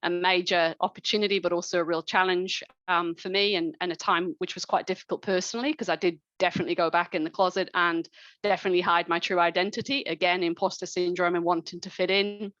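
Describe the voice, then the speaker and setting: A woman's voice: fairly low pitch, flat delivery, fast, a bit bright, no room sound. A woman in her forties giving a reflective personal career talk at a mentoring event, with many ums and restarts. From New Zealand. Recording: dull lo-fi sound.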